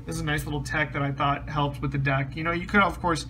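A man's voice: on the high side, steady tone, fast, background noise. A man casually explaining a trading card deck, rambling with many ums and restarts, his voice a bit strained. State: slightly losing his voice, clears throat. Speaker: card game deck reviewer.

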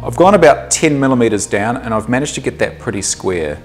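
A man in his thirties with a New Zealand accent, explaining a woodworking project step by step in a workshop, relaxed and thinking aloud with the odd um. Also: medium pitch, fairly quick, soft background music. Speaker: woodworking instructor. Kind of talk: workshop tutorial. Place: small indoor workshop.

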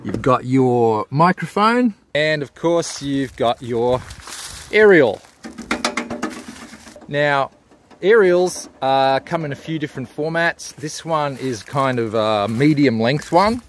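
A man's voice: medium pitch, animated, slow, a little hiss or hum. A man, giving a casual, plain-spoken explainer as a vlogger. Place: inside a parked four-wheel drive and outdoors at a bush campsite.

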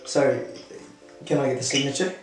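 A man's voice: medium pitch, fairly even intonation, normal speed, distant mic. A young man, chatting casually in an informal, slangy way as a vlogger. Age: young adult, late teens. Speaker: vlogger making prank calls. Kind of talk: casual chat to camera and on the phone. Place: small indoor room at home. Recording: roomy, off-mic, music behind.

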